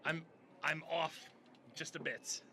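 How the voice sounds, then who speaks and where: A man's voice: fairly high pitch, flat delivery, slow, pushed and bright, heavily acted. Fussy old man customer, stuttery, very piercing voice w some background noise. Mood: flustered. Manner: stammering, fussy, repeats himself. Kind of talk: comedy sketch voice acting.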